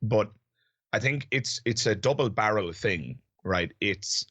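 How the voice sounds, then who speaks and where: A man's voice: lowish voice, lively intonation, fairly bright tone, husky, dry recording. A man, hosting a casual debate and phrasing his questions in careful, restated steps. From Ireland. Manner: measured, restates questions with fillers and asides. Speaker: podcast host and debater.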